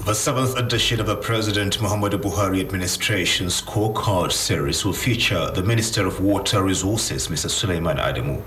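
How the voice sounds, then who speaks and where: A man's voice: low voice, fairly quick, few pauses, a bit bright. A man with a Nigerian accent reading a formal public announcement as a scripted notice. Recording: echoey room, faint background noise.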